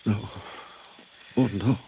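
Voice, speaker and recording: An actor playing a formal, grieving Cuban husband with a Spanish accent, grave and halting, in an old-time radio drama. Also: male voice, lowish voice, fairly even intonation, vocal fry, muffled recording, exaggerated voice. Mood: grief-stricken. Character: grieving, courteous Cuban husband, an export trader. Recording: vintage radio recording with faint noise.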